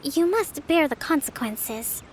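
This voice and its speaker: A woman playing a composed young priestess leader in a video game, speaking in a measured, formal and articulate way. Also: high-pitched, expressive, slight background noise. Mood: firm, formal. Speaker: game character, island leader. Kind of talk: scripted game dialogue.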